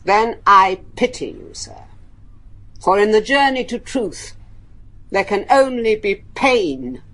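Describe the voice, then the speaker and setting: A woman's voice: medium pitch, expressive, slow pace, fairly bright tone. A woman acting a stern, cold, older mistress of a manor in a gothic radio play, formal and imperious. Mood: cold, dismissive.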